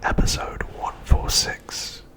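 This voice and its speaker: A man speaks entirely in a breathy whisper, with almost no voiced tone at all. He narrates in ASMR style, reading a prepared recap in a hushed and measured way. The delivery stays soft and steady, like quiet storytelling. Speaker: ASMR host.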